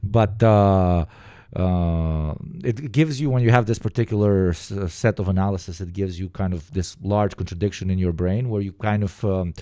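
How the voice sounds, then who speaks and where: A man with an Eastern European accent, reacting to a video in casual, profane, rambling commentary with sudden animated bursts. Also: low-pitched, normal speed, no echo, clean studio sound.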